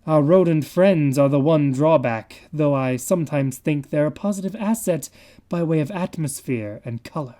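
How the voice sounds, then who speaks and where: A man's voice: a little high, moderate pace, dark tone, close to an everyday voice. A man voicing a sardonic grinning painter. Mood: wry.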